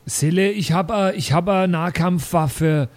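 A man's voice: sits high, unhurried, a little mellow. A man playing an improvised tabletop role-playing game and chatting casually with the group. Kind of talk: casual improvised play and banter.

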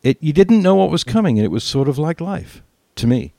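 An older man hosting a podcast, chatting reflectively in a halting, rambling way. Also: fairly high pitch, sing-song, creaky, mellow, dry recording, clean studio sound. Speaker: podcast host. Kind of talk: reflective casual chat.

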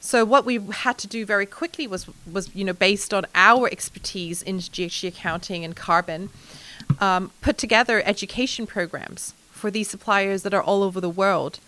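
A woman from Hong Kong, a sustainability executive answering interview questions in a lively way, with frequent fillers and light laughs. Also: mid-range voice, normal speed, rough recording. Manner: animated, laughs often, many fillers.

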